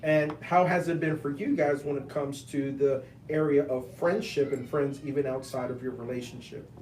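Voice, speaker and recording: A man in his fifties hosting a casual panel talk about relationships, laughing often and rushing when time runs short. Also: mid-range voice, steady tone, darkish tone. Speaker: host. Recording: echoey room, mic held at a distance, faint noise.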